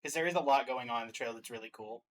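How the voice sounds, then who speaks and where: A man's voice: medium pitch, very fast, a bit bright, a little hiss or hum. A man hosting a stream, giving casual reaction commentary.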